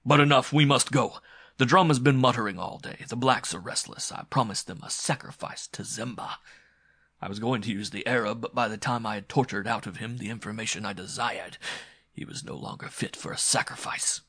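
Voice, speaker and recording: A man's voice: medium pitch, brisk, fairly bright tone, slightly rough, no room sound, clean studio sound. A man voicing a brutal, scheming male villain in a throaty, guttural, boastful way for an adventure story. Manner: boastful, menacing.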